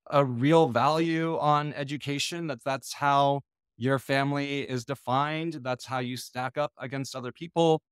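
A middle-aged man from the United States gives a conference talk over a video call, his voice sitting in a middle register. The sound is close and dry, with no room echo. He speaks in a measured, thoughtful way, pausing on ums and restarting his sentences. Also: mid-range voice, fairly bright tone, clear, resonant tone. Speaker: conference lecturer. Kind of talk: online lecture leading a discussion. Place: small indoor room. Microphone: video call webcam.

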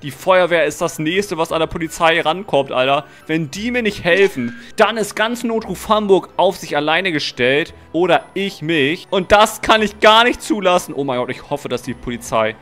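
High-pitched dramatic young man, gaming. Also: lively intonation, moderate pace, hardly any pauses, a bit bright. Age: young adult. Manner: dramatic, urgent, slangy. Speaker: video creator playing a roleplay game. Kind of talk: excited gameplay roleplay narration. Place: indoor streaming room. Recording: music behind the voice.

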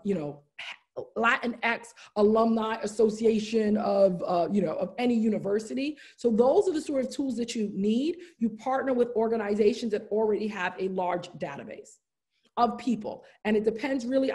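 Earnest woman trainer on a video call. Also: mid-range voice. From United States. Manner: earnest, warm, repeats "wonderful" and "right?". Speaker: diversity trainer. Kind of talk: live webinar training, answering chat questions. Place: indoors, room with brick wall. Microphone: laptop webcam, video call.

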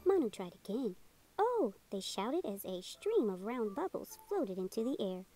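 Expressive woman reading a children's storybook. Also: medium pitch, normal speed. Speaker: storybook narrator. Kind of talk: scripted children's read-aloud narration. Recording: music behind the voice. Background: music.

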